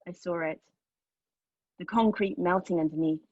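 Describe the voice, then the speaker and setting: Actress doing husky bitter pregnant woman in a stage monologue, intense, exposed, dry close sound but kinda dull. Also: lowish voice, mellow, dry recording, natural voice. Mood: pained, reflective. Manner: intense, deliberate, emotionally exposed delivery. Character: anguished, bitter, sardonic pregnant woman telling her story. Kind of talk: dramatic monologue at a table read.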